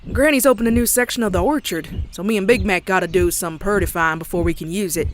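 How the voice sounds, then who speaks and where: A woman's voice: mid-range voice, quick pace, slight background noise, natural voice. A woman voicing a plainspoken young farm mare with a folksy Southern American drawl in a comic dub. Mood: friendly, upbeat. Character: plainspoken, weary young adult farm mare, cowgirl pony. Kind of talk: animated comic dub acting and narration. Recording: music bed under the voice.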